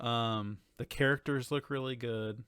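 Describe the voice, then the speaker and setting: A man's voice: mid-range voice, fairly even intonation, measured pace, a bit bright, smooth. A man co-hosting a podcast, chatting casually and enthusiastically about video games in informal language. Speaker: podcast co-host.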